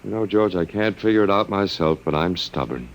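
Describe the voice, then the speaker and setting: A man's voice: deep voice, animated, a little fast. A man playing a stubborn captive in a 1950s radio drama, speaking with dry, sardonic wit. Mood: wry.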